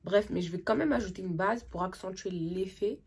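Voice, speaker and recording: Young woman with a cold, husky and chatty. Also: medium pitch, moderate pace. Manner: chatty, excited, slangy, trails off often. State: has a cold. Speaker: makeup vlogger. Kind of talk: casual makeup tutorial chat.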